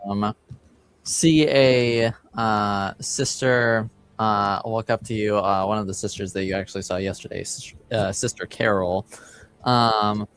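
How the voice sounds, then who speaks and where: A man improvising narration as game master of a tabletop roleplaying game, halting as he searches for words. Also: on the low side, steady tone, a bit bright, smooth.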